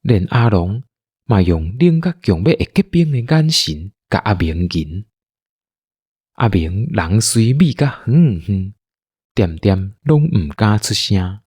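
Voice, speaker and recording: Deep, animated Taiwanese male storyteller. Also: slow pace, husky, dry recording. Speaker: narrator. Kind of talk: scripted radio drama storytelling in Taiwanese.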